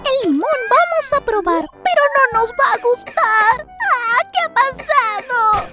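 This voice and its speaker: A tiny, mischievous germ with an extremely high, squeaky voice. It gloats in bouncy cartoon rhymes over loud children's music. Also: female voice, expressive, slow, exaggerated voice. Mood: gleeful, mischievous. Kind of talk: rhyming children's cartoon dialogue.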